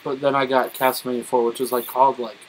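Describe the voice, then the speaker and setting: A man talking casually as a let's play co-host, rambling through his stories a bit haltingly. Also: medium pitch, level tone, slight background noise, rough recording. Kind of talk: casual chat over gameplay.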